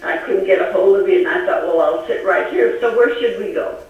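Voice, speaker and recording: A woman's voice: mid-range voice, a little fast, phone-quality sound. A woman with an American accent chatting casually over two-way radio as an amateur operator. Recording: very echoey, distant radio link.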